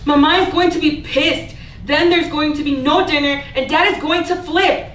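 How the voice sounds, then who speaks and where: A woman playing a bitter, sharp-tongued older sister in a staged play reading, sullen and exasperated. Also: high-pitched, fairly even intonation, moderate pace. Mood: angry, panicked. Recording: distant mic, echoey room, faint noise.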